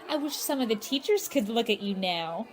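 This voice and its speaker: A woman's voice: fairly high pitch, lively intonation, moderate pace, faint music behind, lightly acted. A woman playing a chipper, proper teenage daughter in a radio sitcom. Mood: bright, teasing. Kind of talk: old-time radio sitcom acting. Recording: faint background hiss.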